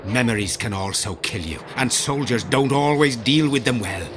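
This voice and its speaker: A man's voice: mid-range voice, moderate pace, close to an everyday voice. A man playing a grim, embittered war veteran, noisy background. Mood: bitter. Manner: grim, coarse-tongued storytelling. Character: embittered veteran soldier, gruff survivor of a lost regiment. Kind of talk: dramatic video game acting.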